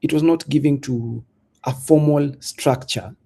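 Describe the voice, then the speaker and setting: A man speaking as a panelist in a discussion, making his points in a measured, reflective way with rhetorical questions. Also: a little high, creaky, dark tone, husky.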